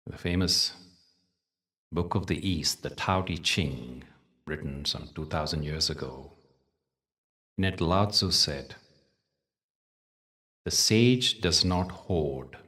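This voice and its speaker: A man leading a guided meditation in a soothing, measured way, leaving long pauses between short phrases. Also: a bass voice, pushed and bright, recorded from a distance. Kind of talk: guided meditation with spoken teaching.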